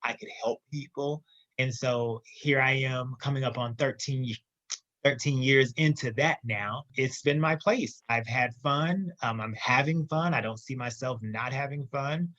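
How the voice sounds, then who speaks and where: A man telling his story as a podcast guest, emphatic and lively. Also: medium pitch, a little slow, no echo, lo-fi sound. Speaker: guest entrepreneur. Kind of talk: casual interview storytelling.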